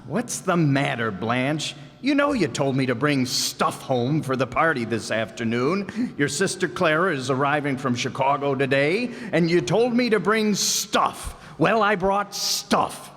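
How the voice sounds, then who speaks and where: A man's voice: a little high, expressive, unhurried, few pauses, a bit bright. A man playing a distracted, grumbling husband. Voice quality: absent-minded mumbling. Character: distracted, grumpy husband John. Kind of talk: live old-time radio comedy play. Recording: reverberant hall.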